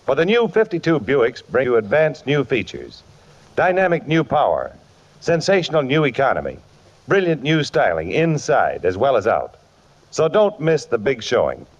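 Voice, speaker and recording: A man as a radio announcer giving a polished, emphatic 1950s advertising pitch on a muffled old broadcast. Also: very animated, normal speed. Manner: polished, emphatic salesman delivery.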